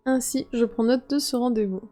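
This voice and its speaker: A woman's voice: a little high, moderate pace, mellow. A woman content creator reading messages aloud and reacting in a chatty, amused way, swearing casually now and then. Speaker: video creator.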